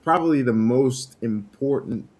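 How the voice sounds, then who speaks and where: A man whose first language is French, hosting a casual trading livestream, relaxed and laughing often while greeting viewers. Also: medium pitch, unhurried. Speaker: livestream host.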